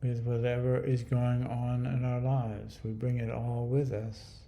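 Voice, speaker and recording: A man leading a guided meditation in a calm, hushed, soothing manner with long pauses. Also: mid-range voice, creaky. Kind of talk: guided body scan meditation.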